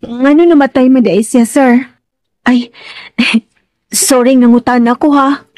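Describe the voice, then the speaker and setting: A woman acting a timid, curious young household maid in a Filipino radio drama, with a Visayan accent and halting, exclaiming speech. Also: high voice, fairly even intonation, darkish tone, no room sound. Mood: curious, sympathetic. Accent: Visayan Philippine. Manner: hesitant, halting, exclaiming with many interjections.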